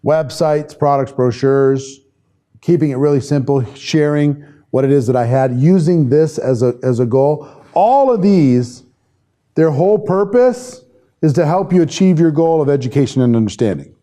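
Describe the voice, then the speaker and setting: A man with a mellow, relaxed voice that sits a little high for a male speaker. He leads an informal live online class as a trainer, talking conversationally and often thinking aloud. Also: moderate pace. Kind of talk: informal live lesson, reading and answering viewer comments.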